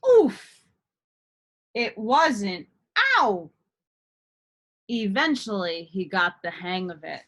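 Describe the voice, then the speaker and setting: Woman, children's storyteller, very lively intonation, slow deliberate pacing, expressive picture-book narration, dramatic emphasis. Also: medium pitch.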